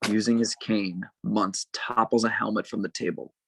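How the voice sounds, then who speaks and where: A man reading stage directions as narrator at a table read, with measured, dramatic phrasing. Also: lowish voice, fairly even intonation, vocal fry, fairly bright tone, husky, no room sound, low-quality recording.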